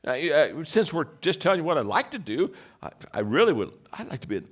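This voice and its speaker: A man with a deep voice giving a motivational talk, telling stories with many restarts and acted-out quotes. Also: big pitch swings, brisk. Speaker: leadership speaker.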